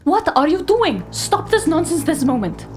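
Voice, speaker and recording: A woman's voice: very high voice, big pitch swings, moderate pace, darkish tone, soft background music. A woman playing a haughty, imperious operative who poses as a scientist in an audio drama. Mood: indignant. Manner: haughty, indignant.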